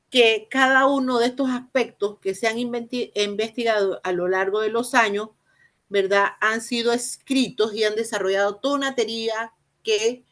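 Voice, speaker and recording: A woman giving a spoken lecture on education, explaining slowly with many fillers and restarts and addressing her listeners formally. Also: fairly high pitch, a bit bright. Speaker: university lecturer.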